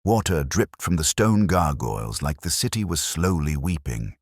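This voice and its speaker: Really deep male narrator reading a detective story, brooding and measured, pitch moves around a lot, dry close sound. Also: very animated, dry recording. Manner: measured, literary, brooding delivery. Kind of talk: scripted first-person detective story narration.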